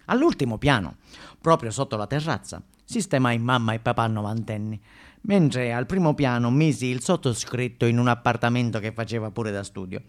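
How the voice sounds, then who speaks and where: A man's voice: mid-range voice, lively intonation, moderate pace, lightly acted. Guy acting as sicilian man telling his story on stage, florid, wry, kinda indignant, very theatrical. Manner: florid, dramatic, acts out noises and dialogue.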